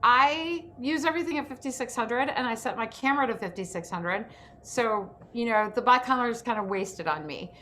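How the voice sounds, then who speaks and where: A woman's voice: fairly high pitch, moderate pace, sharp tone. Woman, workshop host, informal instruction, enthusiastic, video call, faint background noise. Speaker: host and acting self-tape instructor. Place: indoor room on a video call.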